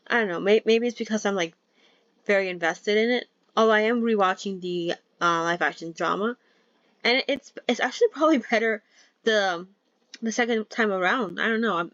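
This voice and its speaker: A woman's voice: medium pitch, fairly bright tone, no room sound. A woman hosting a book-reading channel, chatting casually and rambling to her viewers with many restarts and ums before she reads aloud. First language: not Thai. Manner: chatty and rambling, frequent restarts and "um"s, laughs at herself. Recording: dull dry home recording.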